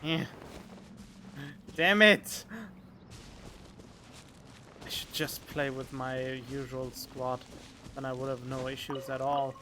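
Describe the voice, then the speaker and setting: A man's voice: medium pitch, fairly even intonation, takes it slowly, long pauses, sharp tone, a little hiss or hum. A young man giving casual, informal let's play commentary, half talking to himself as he streams a game. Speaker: gaming streamer.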